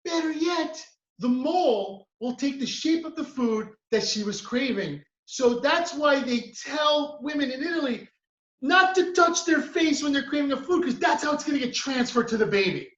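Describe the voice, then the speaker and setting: A man from the United States doing a stand-up comedy routine. He tells his stories with animated energy and acts out other people's voices. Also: very high-pitched, moderate pace, echoey room, muffled recording. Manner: animated storytelling, acts out his mother and friend.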